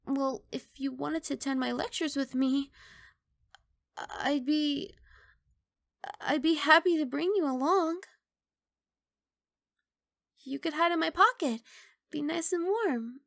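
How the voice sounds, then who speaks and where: A woman voicing a kindly young giant dragon girl in an ASMR roleplay, speaking in a soft, coaxing, reassuring and slightly bashful way. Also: sits high, gravelly, no echo, clean studio sound.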